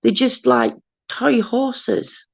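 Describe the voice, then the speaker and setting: A man's voice: very high-pitched, very animated, very slow, vocal fry, no room sound. A man hosting a late-night live chat, rambling casually with lots of fillers and asides. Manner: rambling, chatty, lots of fillers and "do you know". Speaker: host. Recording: dull, dry lo-fi sound.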